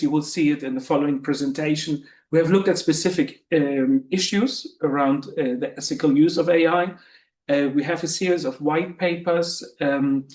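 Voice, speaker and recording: A man hosting a webinar, presenting with many pauses and restarts, his voice going hoarse. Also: on the high side, a little mellow, reverberant. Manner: halting, many fillers and restarts. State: losing his voice. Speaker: webinar host.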